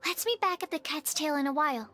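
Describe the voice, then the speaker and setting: Casting: a polite, earnest young scholar in an anime-style game, very high and soft. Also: female voice, lively intonation, fast talker, a little hiss or hum. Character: gentle, studious, polite young woman. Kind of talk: animated game voice acting. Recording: background music.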